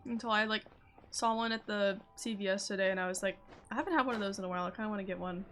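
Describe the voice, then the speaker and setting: A woman's voice: medium pitch, very fast, fairly bright tone, a little hiss or hum. A woman chatting casually as she livestreams a video game. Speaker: game streamer. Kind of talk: casual livestream chat while gaming. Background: faint game music.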